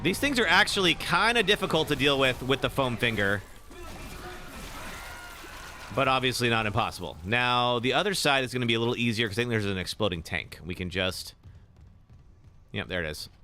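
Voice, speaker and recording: A young man, slightly raspy today, chatting casually while live streaming a game and answering chat. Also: mid-range voice, lively intonation, brisk, a little hiss or hum. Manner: chatty, reacts with sudden loud exclamations; filler-heavy, answers chat. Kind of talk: live gameplay commentary.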